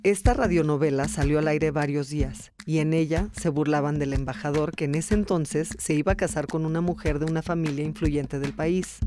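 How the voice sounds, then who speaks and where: A man's voice: on the high side, normal speed, hardly any pauses, music in the background. A man narrating a scripted documentary podcast as its storyteller.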